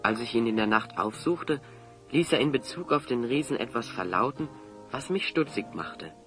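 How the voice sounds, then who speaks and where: A man's voice: mid-range voice, animated, normal speed, fairly bright tone, faint music behind, close to an everyday voice. A man acting a clever, confident hero in an audio drama, articulate and assertive as he reasons things out. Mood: firm, reasoning. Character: clever, confident male hero explaining a scheme.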